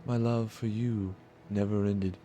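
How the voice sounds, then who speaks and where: A man's voice: fairly low pitch, fairly even intonation, measured pace, mellow, soft background music, natural voice. A man reading the part of an eerie, reproachful wife returned from death in a visual novel, with solemn poetic delivery. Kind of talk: visual novel dialogue read aloud.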